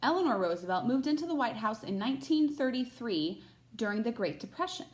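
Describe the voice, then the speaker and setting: A woman's voice: on the high side, big pitch swings, fairly quick. A woman teacher reading a children's picture book aloud expressively, with short asides to her listeners.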